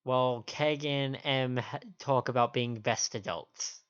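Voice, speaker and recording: A man's voice: medium pitch, fairly even intonation, slow, smooth. A man chatting casually as a livestream host, sniffly, with hesitant, rambling asides. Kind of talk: casual stream chat. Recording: dull lo-fi stream audio, faint music.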